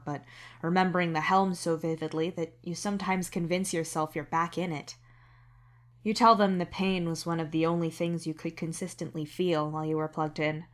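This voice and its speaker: A woman reading scripted fiction as a narrator. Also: fairly low pitch.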